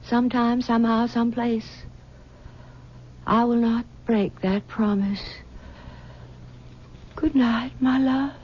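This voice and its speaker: Earnest young lover, high voice, old dull radio sound. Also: female voice, little pitch movement, slow pace, pauses often, slight background noise. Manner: earnest, solemn promising. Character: devoted young woman, earnest. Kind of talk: 1970s radio drama acting.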